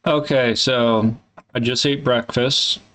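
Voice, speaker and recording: A man in a candid solo vlog, thinking aloud in a halting, hesitant way and swearing casually. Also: medium pitch, steady tone, fairly bright tone. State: congested. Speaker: vlogger.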